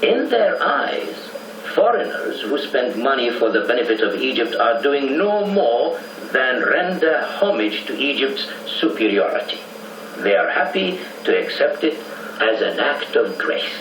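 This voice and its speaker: A proud, smooth-tongued Egyptian government official in a 1920s period radio drama. His phrasing is formal and diplomatic, and his pitch moves in lively swings. The copy is noisy and roomy, as if he stands back from the microphone. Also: measured pace, distant mic. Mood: proud, lofty.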